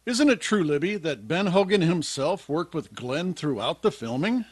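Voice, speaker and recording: A male announcer in the polished style of 1950s radio advertising. His voice is fairly high, very lively and husky, recorded dry. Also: moderate pace, dry recording. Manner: polished, promotional.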